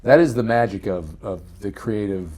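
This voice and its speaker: A man's voice: fairly low pitch, normal speed, darkish tone, slightly rough. A man chatting casually in a bantering, conversational way as a podcast co-host.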